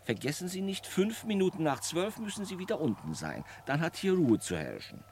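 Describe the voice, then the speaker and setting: A man's voice: fairly high pitch, big pitch swings, brisk, a little mellow. An actor playing an officious, rule-bound cemetery watchman in an old German radio play, pedantic and stiffly polite. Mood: pedantic, fussy. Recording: old radio recording, faint noise.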